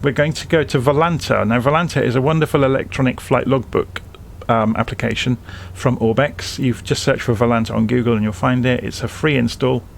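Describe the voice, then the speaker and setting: A man's voice: medium pitch, fairly even intonation, brisk, creaky, few pauses, slight background noise. A man presenting a casual flight simulation tutorial, explaining things informally, step by step.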